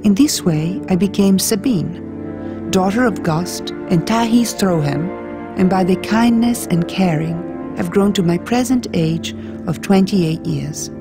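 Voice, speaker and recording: A woman's voice: mid-range voice, measured pace, over music, lightly acted. A woman reading an audiobook as an earnest twenty-eight-year-old island woman writing an intimate letter. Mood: reflective, fond.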